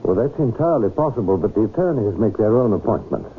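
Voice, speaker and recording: A man's voice: lowish voice, animated, quick pace, natural voice. A man plays a discreet law-office clerk from an old-time radio drama. He is formal, courteous and obliging. The recording is tinny, with faint noise behind the voice. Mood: courteous, guarded.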